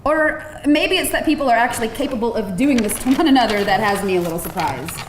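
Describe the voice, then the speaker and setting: A woman's voice: a little high, lively intonation, fast talker, lightly acted. A woman playing a skeptical, wry young actress in a live screenplay table read. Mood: skeptical, sardonic. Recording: roomy live venue, faint noise.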